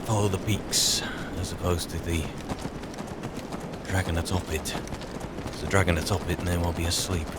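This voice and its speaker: A man's voice: sits low, fairly even intonation, moderate pace, pauses often, lightly acted. A man playing a weary, grumbling wandering mage, musing and muttering drily in an in-character video game roleplay. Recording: faint game ambience noise.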